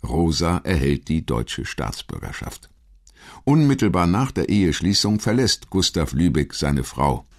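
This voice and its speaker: A man reading scripted biographical narration as a documentary narrator, in a measured, articulate manner. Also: low-pitched, big pitch swings.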